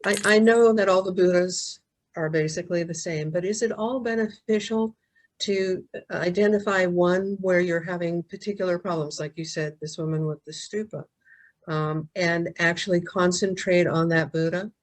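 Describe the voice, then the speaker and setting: A woman with a lowish voice asking a thoughtful question. She stops and restarts while she frames it carefully. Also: fairly even intonation, normal speed.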